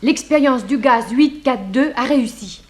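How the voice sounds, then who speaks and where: An actress voices an eager young maid from a vintage French radio thriller. Her voice is fairly high. She reports like an excited little informer. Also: moderate pace, natural voice. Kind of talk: 1960s French radio drama acting.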